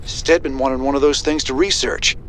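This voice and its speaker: An actor playing a gruff, stubborn rebel space commander in a video game, drawling wryly. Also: male voice, mid-range voice, fairly even intonation, moderate pace, noisy background, natural voice. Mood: brisk, wry. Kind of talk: video game cutscene acting.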